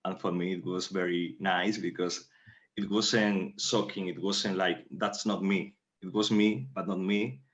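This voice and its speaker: A very deep male voice with a native Spanish speaker's accent, heard through dull, lo-fi audio. He is a playwright answering interview questions in careful, imperfect English. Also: little pitch movement, unhurried, a bit bright. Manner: non-fluent English, careful phrasing. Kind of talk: recounting in interview.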